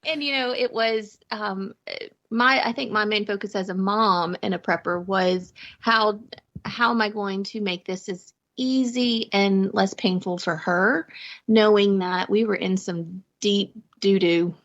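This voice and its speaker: A woman's voice: mid-range voice, a little slow, a bit bright, highs cut off. A middle-aged woman telling personal anecdotes casually as a guest, with a wry manner and laughter in her talk. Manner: wry, laughing as she talks. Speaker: podcast guest, prepper and mother.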